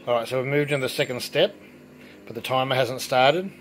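Relaxed middle-aged man explaining as he works. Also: mid-range voice, a little fast, a little hiss or hum, low-quality recording. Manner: relaxed, explanatory, thinking aloud while working. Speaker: home brewing presenter. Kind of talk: casual hands-on demonstration. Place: indoor home brewery.

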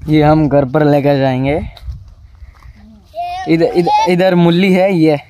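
A man's voice: on the high side, little pitch movement, takes it slowly, dark tone, slight background noise. A young man from Rajasthan, India, vlogging casually outdoors on his farm with a lapel mic, chatting in a friendly way and asking a child questions. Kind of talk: casual village vlog.

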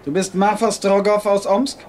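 A coolly commanding rebel leader played by a man in a radio play. His voice sits fairly high for a man and he speaks in measured, courteous menace, with clear noise behind him. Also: steady tone, darkish tone, natural voice. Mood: coldly probing. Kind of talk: German audio drama acting.

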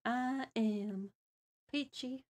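A woman's voice: on the high side, fairly even intonation, very slow, a little mellow. A woman hosting a livestream, chatting casually and playfully with her co-host and viewers.

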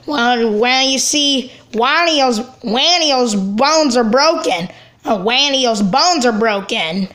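A woman as a high-voiced, indignant cartoon brother. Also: expressive, fairly bright tone. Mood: worried. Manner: halting, repeats phrases. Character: indignant, worried cartoon brother scolding two troublemakers.

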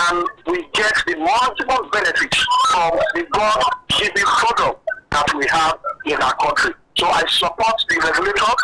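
A middle-aged Nigerian man with a Nigerian accent, giving earnest analysis as a guest on a phone-in news interview. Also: high-pitched. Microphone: telephone line. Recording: noisy telephone line.

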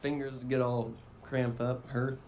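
Relaxed musician with a high-ish male voice. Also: slow pace. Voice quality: fairly high voice for a man. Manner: relaxed, rambling with fillers. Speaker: band member guest. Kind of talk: casual interview chat. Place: outdoor festival stage. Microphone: stand mic on stage. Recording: outdoor live broadcast with faint noise.

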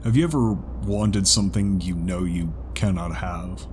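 Man acting low, reserved male zebra wanderer. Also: a little slow, darkish tone, a little hiss or hum. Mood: hesitant. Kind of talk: audiobook character acting. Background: music.

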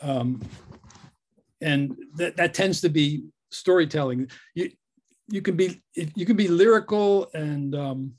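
Featured poet, man, a bit high-pitched, hesitant and modest. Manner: halting, repeats words, modest. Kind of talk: casual conversation on video call. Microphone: video call.

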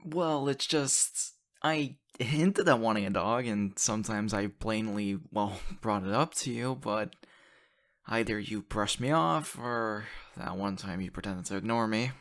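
Man acting as an affectionate, unhurried young boyfriend. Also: on the low side, a bit bright. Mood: teasing, affectionate. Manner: halting, repeats words, breathy laughs and sighs. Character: excited, affectionate young boyfriend, playfully teasing. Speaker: voice actor in a boyfriend roleplay. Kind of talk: ASMR audio roleplay, one-sided intimate conversation.

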